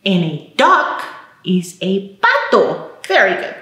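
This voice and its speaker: A woman's voice: mid-range voice, very animated, takes it slowly, bright, forceful tone. A middle-aged woman presenting a children's storytime, speaking expressively and encouragingly to small children. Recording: roomy indoor echo.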